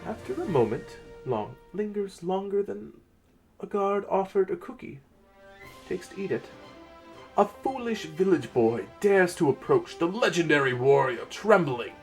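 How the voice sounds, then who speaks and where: A man's voice: sits high, big pitch swings, measured pace, pitched above the performer's own voice, exaggerated voice. Man doing a theatrical fantasy storyteller, music behind. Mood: suspenseful. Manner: theatrical, pompous. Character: grandiose fantasy storyteller narrating a mock-epic legend. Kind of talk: dramatic story reading.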